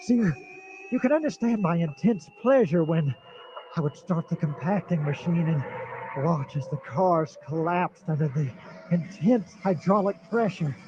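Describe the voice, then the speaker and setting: An actor playing a nervous, paranoid middle-aged man who stammers and breaks into shrill outbursts, in an old-time radio drama style. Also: a little mellow, a little hiss or hum. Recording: dull lo-fi recording.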